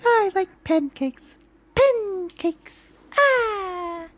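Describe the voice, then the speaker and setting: Squeaky tiny cat creature. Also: male voice, expressive, very slow, fairly bright tone, no room sound, full character voice. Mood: cheery squeal. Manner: squealing, cries out about pancakes. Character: tiny high-pitched cat-like creature, male, cheery.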